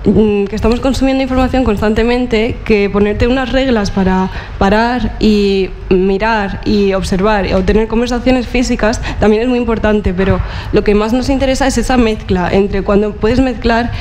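A young woman from Murcia, a curator, speaking on a panel in a large auditorium with relaxed, hesitant talk and many fillers. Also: mid-range voice, moderate pace, few pauses, a little hiss or hum, poor audio. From Murcia, Spain.

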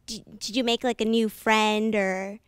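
A woman's voice: on the high side, little pitch movement, a little slow, clear, resonant tone, no room sound. A young woman interviewing a dancer, upbeat and encouraging, speaking into a handheld mic in an indoor lounge. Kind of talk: entertainment interview. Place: indoor lounge at a rehearsal studio.

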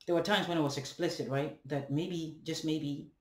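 A young man from Ghana with a Ghanaian accent, speaking a halting, deliberate, reflective monologue to a webcam at home, often checking in with questions. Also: on the high side, steady tone. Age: young adult. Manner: halting, deliberate, rhetorical questions and tag "right?". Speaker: vlogger. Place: indoors, room at home with bare walls and ceiling fan. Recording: echoey room, webcam at a distance.

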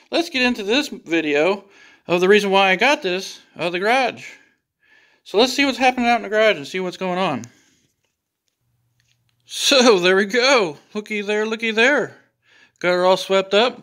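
A man's voice: high voice, bright, forceful tone. A man filming a casual walk-through of his garage on a handheld phone, talking in a folksy, rambling way with homespun phrases. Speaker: YouTube vlogger. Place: garage and home interior. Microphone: handheld phone camera, filming himself.

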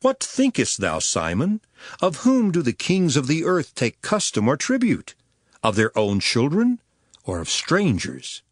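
An actor playing an earnest, authoritative teacher with solemn, declamatory delivery in a dramatized Bible reading. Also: male voice, a little high, wildly swooping pitch, unhurried, dry recording, clean studio sound, exaggerated voice. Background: music.

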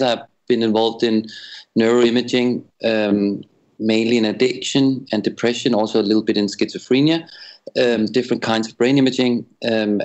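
Measured man from Denmark. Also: medium pitch, highs cut off. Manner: measured, some restarts. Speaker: psychiatrist and researcher guest. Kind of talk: interview answer.

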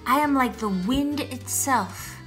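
Lady doing a dramatic lil french snail from a picture book, sweet and full of itself, unhurried, crisp bright sound. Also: sits high, soft background music, pitched above the performer's own voice. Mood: boastful, excited. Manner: theatrical, deliberate, addressing the child listener. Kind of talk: picture book read-aloud.

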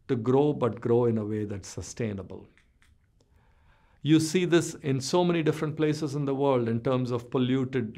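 A man in his sixties giving a recorded business lecture over slides, measured and explanatory, trailing off at the ends of phrases. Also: medium pitch, vocal fry, darkish tone. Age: 60s. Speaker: business professor.

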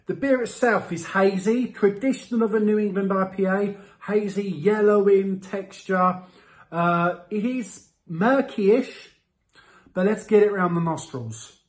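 A man in his thirties giving a casual product review to camera, with a playful, theatrical delivery and drawn-out words. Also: high voice. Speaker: host of a beer review series. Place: small indoor room with window blinds.

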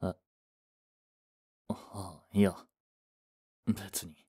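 A man's voice: low-pitched, little pitch movement, extremely slow, mellow, slightly rough, full character voice. A man playing a frustrated young working boyfriend in a romantic audio drama, speaking in blunt, casual masculine language. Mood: startled, wary. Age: young adult.

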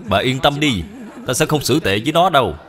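A man's voice: medium pitch, lively intonation, fairly quick, fairly bright tone, close to an everyday voice. A man playing a lecherous, pushy rich man in a cartoon dub, oily and condescending. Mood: smug, coaxing. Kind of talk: dubbed cartoon drama acting.

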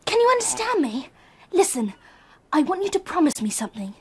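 An actress playing an anxious English girl narrating her summer in a radio drama, with hushed, intense, deliberate storytelling. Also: very high-pitched, very animated. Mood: urgent.

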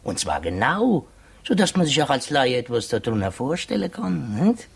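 A gullible prison warder in a 1950s radio play. His voice is husky and mid-pitched. He rambles chattily, tripping over words in his curiosity. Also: wildly swooping pitch, moderate pace, full character voice. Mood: admiring. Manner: chatty, stammering with eager curiosity.

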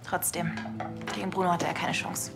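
A woman's voice: fairly low pitch, flat delivery, moderate pace, creaky, over music. An actress playing a lovelorn, indignant woman in a naturalistic TV crime drama. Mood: insistent, wistful. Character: indignant, lovelorn woman recalling an old flame.

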